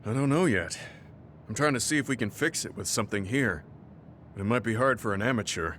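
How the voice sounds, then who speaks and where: An actor playing a steady, protective, experienced soldier in an anime game, measured and modest. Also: male voice, fairly low pitch, a little hiss or hum. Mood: steady, concerned.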